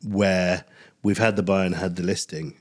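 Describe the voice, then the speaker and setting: A man's voice: sits low, steady tone. Stuffy-voiced joking man. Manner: joking, quick-witted. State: stuffy with a cold. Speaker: podcast co-host. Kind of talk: casual podcast chat.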